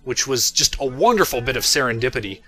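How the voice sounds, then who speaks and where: A man's voice: medium pitch, animated, quick pace, sharp tone. A man giving casual, chatty commentary on his own work, wandering into self-amused asides. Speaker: creator doing episode commentary. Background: music playing underneath.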